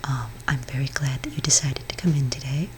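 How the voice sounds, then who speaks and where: A woman speaking in a breathy whisper for a soft-spoken ASMR roleplay, playing a gentle, hesitant therapist for witches. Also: rumbling low, steady tone, slight background noise. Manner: gentle, hesitant, halting counsel.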